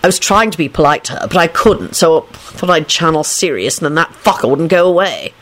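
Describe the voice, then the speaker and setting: A woman's voice: deep voice, animated, brisk. A woman voicing a frantic, sardonic adult male wizard in a storybook, swearing and pleading. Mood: polite, rueful. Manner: frantic, sweary, muttering or hollering.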